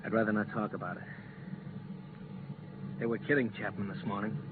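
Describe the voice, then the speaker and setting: An actor playing a guilt-ridden, reluctant astronaut in a 1950s radio drama, with a subdued, terse delivery. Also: male voice, lowish voice, flat delivery, a little fast, lightly acted. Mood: ashamed. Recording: muffled, noisy 1950s radio transcription.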